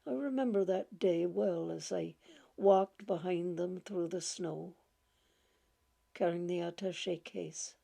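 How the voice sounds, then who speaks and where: A woman reading a short story aloud in a gentle, halting way, repeating words and laughing softly as she goes. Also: fairly low pitch, creaky. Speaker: audiobook reader.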